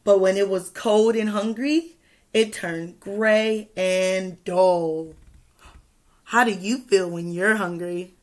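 A young woman teacher reading a picture book aloud to children, expressively, pausing to ask questions and add asides. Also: mid-range voice, steady tone. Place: small indoor room with classroom wall charts.

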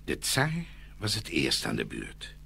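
A man's voice: very deep voice, wildly swooping pitch, a little slow, gravelly, bright, forceful tone, slightly rough. A man narrating a scripted fairy tale as a dramatic storyteller.

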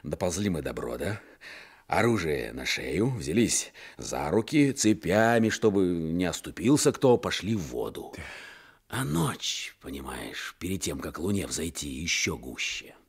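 Brash Cossack-born commander, husky, very animated, colloquial, radio drama. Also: male voice, low-pitched, unhurried, exaggerated voice. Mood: boastful storytelling. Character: brash, hearty Red cavalry commander, a Cossack's son. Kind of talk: Soviet radio play acting.

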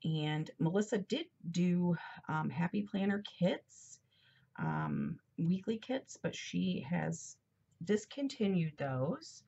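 Low, gravelly woman, a little congested. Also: sing-song, slow pace, mellow, highs cut off. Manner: chatty, rambling, with fillers and asides. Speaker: planner vlogger. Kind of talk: casual chat while decorating a planner. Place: at a desk indoors. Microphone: overhead camera.

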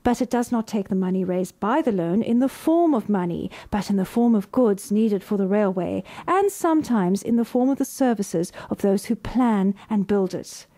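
A woman voice artist reading scripted narration in a measured, formal way. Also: mid-range voice, lively intonation, dark tone.

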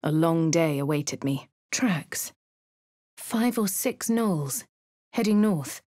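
An actress with a posh English accent voicing a plucky female adventurer hero for a video game, crisp and well-spoken, close to a studio mic. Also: low-pitched, lively intonation, slow, a little mellow, dry recording. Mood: wry. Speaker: player character.

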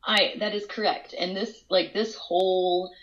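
A woman's voice: medium pitch, steady tone, unhurried, a bit bright. A woman in her forties, chatting casually at home over a video call, a bit congested with a cold and given to long storytelling asides. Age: 40s. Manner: chatty, storytelling, laughs often. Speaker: co-host. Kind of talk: casual chat. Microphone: smart display video call. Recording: dull, lo-fi video call audio.

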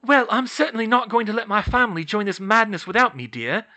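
A narrator voicing a protective, fierce middle-aged witch mother with a forceful manner, in a fantasy audiobook. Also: mid-range voice, very animated, normal speed, voice pushed up. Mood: fierce, scolding. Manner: forceful, scolding.

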